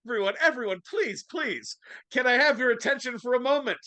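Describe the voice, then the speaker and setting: A man's voice: very high voice, animated, a little fast, sharp tone, no room sound, voice pushed up, exaggerated voice. A man reading the part of a hearty, declamatory older-style boss in a live script reading. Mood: festive, hearty. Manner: declamatory, grandly addressing listeners. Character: hearty male headmaster and festive employer, declaiming. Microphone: video call.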